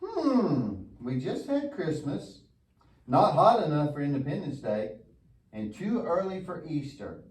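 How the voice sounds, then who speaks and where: A man's voice: fairly high pitch, sing-song, measured pace, darkish tone. A man playing a goofy, lazy, mischievous young boy puppet in a church show, with an exaggerated, wisecracking delivery. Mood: excited, clowning. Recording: echoey room, distant mic.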